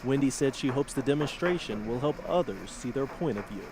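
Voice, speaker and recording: A man's voice: medium pitch, expressive, fairly quick, background noise. A man with an American accent reading scripted TV news as an anchor and reporter. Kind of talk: scripted broadcast news narration.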